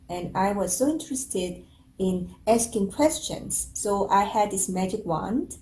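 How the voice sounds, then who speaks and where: A woman from South Korea, a native Korean speaker, gently telling her story as a spiritual teacher in English. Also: on the low side, echoey room, rough recording. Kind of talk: calm introduction and personal story.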